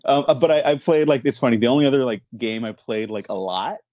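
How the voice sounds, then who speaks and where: A man hosting a movie podcast in casual chat, informal and halting, often repeating his words. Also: medium pitch, a little mellow, no echo, lo-fi sound. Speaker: podcast host.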